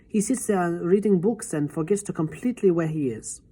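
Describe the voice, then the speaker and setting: A woman voicing a composed, affectionate old grandmother who speaks calmly. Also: fairly low pitch, a little fast, slightly rough. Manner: calm, cool, affectionate.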